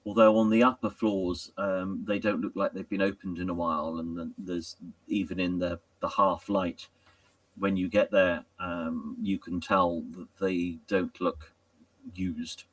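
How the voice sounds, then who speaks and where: A man improvising narration as a tabletop game master in a halting, hesitant way, often pausing mid-sentence. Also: low voice, level tone, no room sound, far from the mic, rough recording.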